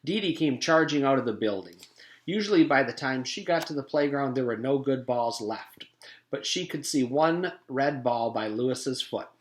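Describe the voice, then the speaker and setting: A man's voice: medium pitch, animated, normal speed, slight background noise. A man, a teacher, reading a children's story aloud as scripted narration. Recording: echoey room.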